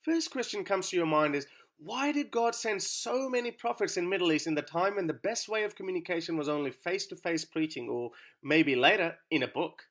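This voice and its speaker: Sarcastic man with lively, bright voice. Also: high-pitched, a little fast. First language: Urdu. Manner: sarcastic, rhetorical questioning. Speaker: YouTube commentator. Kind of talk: scripted argumentative monologue.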